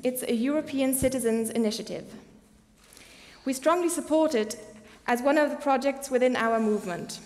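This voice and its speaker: A woman's voice: sits high, moderate pace. A young woman moderating a press conference with formal prepared statements and introductions. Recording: echoey hall with long reverb.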